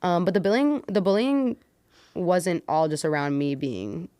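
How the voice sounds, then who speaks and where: A woman's voice: fairly low pitch, animated, unhurried, dark tone, dry recording, clean studio sound. A woman speaking casually as a podcast guest, telling stories in an informal, slangy way. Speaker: podcast guest, community builder. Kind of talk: casual conversational interview.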